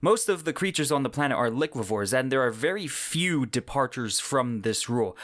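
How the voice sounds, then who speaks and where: A man with a medium-pitched voice hosts a podcast solo, explaining things in a measured, semi-scripted way. He slips in personal asides as he goes. The sound is very dry, with no room echo. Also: fairly bright tone. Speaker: podcast host.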